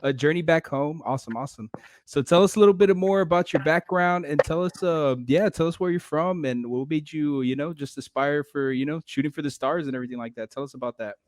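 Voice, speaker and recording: A man's voice: a little high, fairly quick, no room sound. A man hosting a podcast in a casual, informal way, feeling his way through loosely phrased remarks and questions. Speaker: podcast host. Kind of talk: casual interview chat.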